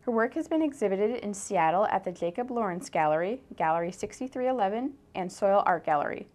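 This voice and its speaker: A woman's voice: medium pitch, lively intonation, a little fast, a little mellow. A woman presenter reading a scripted arts announcement.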